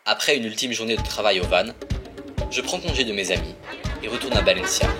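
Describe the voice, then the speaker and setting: A man reading a scripted travel voice-over in a calm, even way. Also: fairly low pitch, brisk. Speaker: narrator. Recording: voice-over with loud music bed. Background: loud guitar music.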